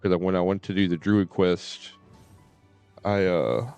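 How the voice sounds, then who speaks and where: A man streaming a game and chatting casually, halting and often repeating himself. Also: low voice, gravelly, darkish tone, clean tone, no echo, faint music behind. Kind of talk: casual live stream chat.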